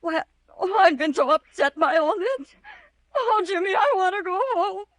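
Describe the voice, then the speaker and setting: A woman's voice: very high voice, expressive, moderate pace, fairly bright tone. An actress playing a flustered, anxious young woman who hesitates and pleads, in old-time radio melodrama style. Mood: upset, pleading. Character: frightened, flustered good-looking young woman.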